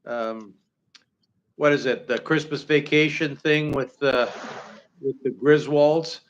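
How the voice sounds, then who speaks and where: A man's voice: a little high, fairly even intonation, takes it slowly, muffled recording. A man in his sixties from Canada, chatting casually as livestream co-host, rambling with many fillers and restarts. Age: 60s. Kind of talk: casual chat.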